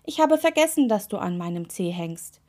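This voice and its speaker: A little witch girl from a children's story, played by a woman, kind but easily exasperated. Her speech is expressive and swings freely up and down in pitch. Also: moderate pace. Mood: apologetic. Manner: expressive, muttering to exclaiming.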